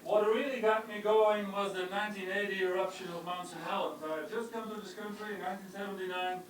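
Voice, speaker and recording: A man's voice: high voice, fairly even intonation, quick pace, a crowd in the background. An older man with a Dutch accent gives an informal public lecture on his research, with wry, self-deprecating jokes and hesitant fillers. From Netherlands. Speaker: university geochemistry professor giving a public talk. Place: lecture hall with an audience. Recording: echoey hall, mic far off, audible room noise.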